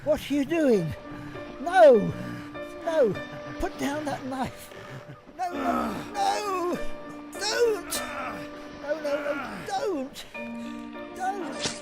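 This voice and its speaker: A woman's voice: sits high, expressive, very slow, pauses often, loud music behind the voice, exaggerated voice. An actress playing a cold, scheming female saboteur in an amateur radio drama. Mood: panicked. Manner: urgent, then panicked screaming.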